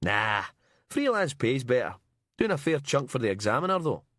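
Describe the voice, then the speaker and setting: Man voicing a brash, pushy reporter, lively and raised, dry close sound. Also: mid-range voice, moderate pace, dry recording, pitched above the performer's own voice. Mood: wheedling. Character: cocky freelance male reporter, vain and pushy.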